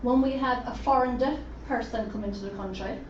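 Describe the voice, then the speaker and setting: Woman, interpreter voice, fairly high, distant dull recording with faint hiss. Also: brisk. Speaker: sign language interpreter voicing a deaf presenter. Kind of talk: first-person interpreted presentation. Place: conference room at a roundtable event. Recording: roomy, distant, dull lo-fi sound with faint noise.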